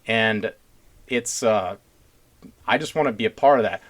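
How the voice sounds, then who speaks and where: A man's voice: on the low side, a little slow, fairly bright tone. A man hosting a casual podcast, talking in an earnest, rambling, blunt blue-collar way. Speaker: podcast host.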